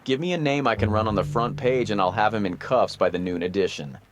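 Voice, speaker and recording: An actor playing an eager, pressing newspaper reporter in a 1930s-style noir radio drama. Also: male voice, lowish voice, a little fast, a little hiss or hum, natural voice. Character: pushy male newspaper reporter, hungry for a headline.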